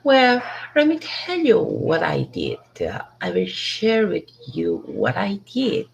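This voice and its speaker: Slow, gravelly, low-voiced woman. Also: huge pitch swings, dull audio, slight background noise. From Hong Kong. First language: Cantonese. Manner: halting, restarts and repeats words to correct herself. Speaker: ESL student giving a speech. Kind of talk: rehearsed motivational speech. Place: outdoors in a city park.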